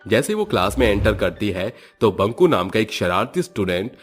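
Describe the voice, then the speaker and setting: A man's voice: on the low side, big pitch swings, normal speed, soft background music. A man narrating a scripted horror story in a dramatic storytelling style.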